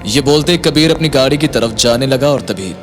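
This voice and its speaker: A man's voice: mid-range voice, expressive, normal speed. A man narrating a Hindi audio story in a dramatic scripted style. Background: music.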